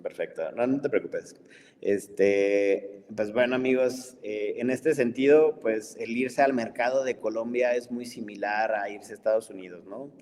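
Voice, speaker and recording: Man hosting, echoey and low. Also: unhurried. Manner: relaxed, conversational, full of filler words. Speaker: webinar host. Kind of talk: live online talk. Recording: long reverb, faint background noise.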